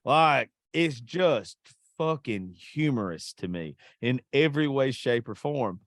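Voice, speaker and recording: A man's voice: medium pitch, expressive, slow pace, no echo, studio quality. Crude, joking male podcast host. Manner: profane, joking, laughs at himself. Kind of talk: casual crude storytelling chat.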